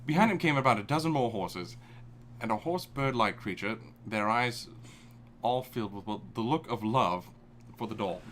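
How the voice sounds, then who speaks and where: A man's voice: on the low side, expressive, moderate pace, a bit bright, slight background noise. A man reading fan fiction aloud for laughs on a live stream. He reads dramatically, then breaks off to laugh and swear. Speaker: fanfic reader. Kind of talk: comedic live fanfic reading.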